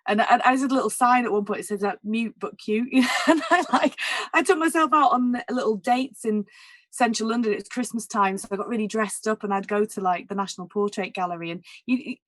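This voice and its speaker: A woman jazz singer with a naturally husky voice, talking candidly in a casual interview, full of "like" and "you know". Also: fairly high pitch, fast, dry recording. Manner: candid and emotional, many "like" and "you know" fillers.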